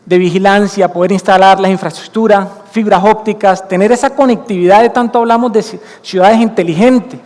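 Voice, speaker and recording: High-voiced Colombian man in a reverberant hall. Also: a little mellow. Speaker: conference speaker. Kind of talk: conference talk. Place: conference hall stage. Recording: long hall reverb from a conference room.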